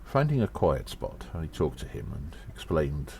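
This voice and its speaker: A man playing an earnest undercover gnome agent in an audio drama, reporting in a measured, halting, formal and conspiratorial way. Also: extremely low pitch, big pitch swings, darkish tone, slight background noise, full character voice. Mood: confiding, measured. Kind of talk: first-person audio drama monologue, spoken report.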